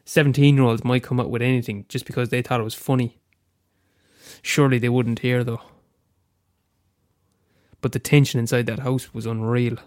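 Irish man, young, stuffy head cold, smooth resonant voice, dry close sound, reading own story. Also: medium pitch, flat delivery, normal speed, long pauses, darkish tone, no echo. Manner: casual, hesitant with fillers in the intro. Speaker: amateur storyteller. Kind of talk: reading his own short story aloud.

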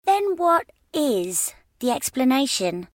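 A man's voice: very high voice, very animated, takes it slowly, a bit bright, no echo, studio quality, pitched above the performer's own voice, full character voice. A man voicing an earnest young man who presses his questions indignantly. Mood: insistent.

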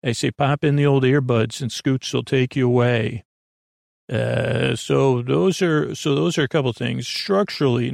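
A man with a creaky voice hosting a bedtime podcast in a gentle, meandering conversational way, reassuring and often interrupting himself with tangents. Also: mid-range voice, no echo, clean studio sound. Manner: meandering, hesitant, full of tangents and self-interruptions. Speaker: podcast host.

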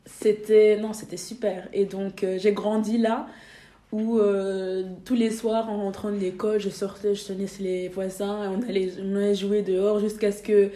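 A woman's voice: medium pitch, flat delivery, moderate pace, dark tone, echoey room. A young woman from Belgium, a podcast guest talking casually, hesitating with many fillers and restarts. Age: young adult. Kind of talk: casual interview.

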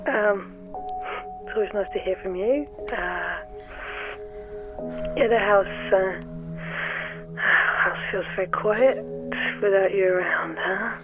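Audio drama role: middle-aged mum on voicemail, slow, halting and tender, through a thin phone line. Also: female voice, medium pitch. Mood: wistful, fond. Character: wistful, loving mother leaving her child a voicemail. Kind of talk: naturalistic audio drama acting. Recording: tinny phone message. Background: music.

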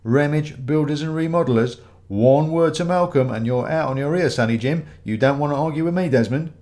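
A man voicing a loud, blustering builder in a story reading, brash, demanding and menacing. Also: on the high side, a little fast, voice pushed up, natural voice. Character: loud, bullying male builder, boastful and menacing.

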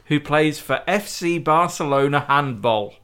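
A man co-hosting a casual podcast chat, dry and deadpan, tripping over hard names. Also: on the high side, a little slow, smooth. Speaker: podcast co-host.

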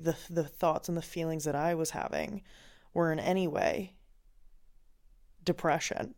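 A woman's voice: low voice, creaky, a little mellow. A woman from Finland hosting a podcast, talking through a candid, reflective personal monologue with lots of "like" and "um". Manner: candid and reflective, with frequent "like" and "um" fillers. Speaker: podcast host.

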